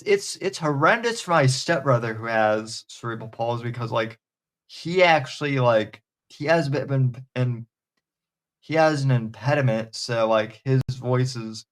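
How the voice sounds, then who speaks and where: A man hosting a casual podcast, rambling with hesitant restarts and crude humor. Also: mid-range voice. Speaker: podcast host. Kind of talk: casual chat.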